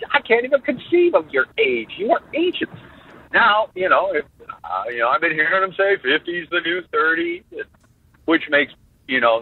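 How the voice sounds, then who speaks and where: High, lively man in his fifties on a phone line. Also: breathy edge, no room sound. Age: 50s. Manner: animated, halting restarts, jokey. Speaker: guest drummer. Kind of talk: casual interview talk.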